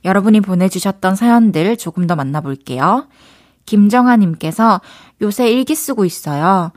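A young woman DJ with a light Daegu lilt chats her way through listener letters on the radio. She is playful, thinks out loud, and now and then changes her mind mid-thought. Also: medium pitch, animated, normal speed. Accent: Daegu Gyeongsang Korean. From Daegu, South Korea. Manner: chatty, playful, thinks aloud. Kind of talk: casual radio chat reading listener letters.

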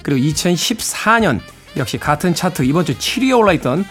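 A man's voice: on the high side, big pitch swings, a little fast, fairly bright tone. A middle-aged man hosting a music radio show, mixing song introductions with casual anecdotes in a wry, self-teasing, conversational manner. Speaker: radio host and DJ.

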